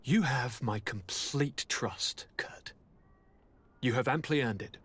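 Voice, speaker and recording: A man acting a sickly young prince in a video game cutscene, speaking formally and theatrically with weary sighs and a husky voice. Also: mid-range voice, slow pace, fairly bright tone, full character voice. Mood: weary gratitude.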